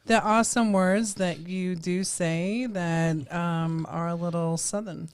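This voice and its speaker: A woman's voice: on the low side, fairly even intonation, slow, smooth. A middle-aged woman co-hosting a live stream, chatting casually with short agreeing replies into a studio mic. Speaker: co-host. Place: small studio room with a purple backdrop. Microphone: studio mic on a boom arm.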